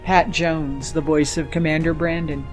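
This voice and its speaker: A male announcer reads scripted closing material for an audio drama. His voice is a bit high for a man, over faint music. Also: little pitch movement, a little slow. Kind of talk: scripted credits and episode announcement.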